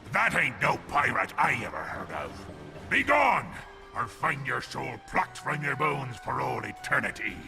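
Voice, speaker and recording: An actor playing an imperious, threatening undead captain with an in a video game. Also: male voice, mid-range voice, big pitch swings, unhurried, vocal fry, over music. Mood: imperious menace. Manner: grandiose, threatening. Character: menacing undead pirate captain ghost, cursing and imperious. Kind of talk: video game villain acting.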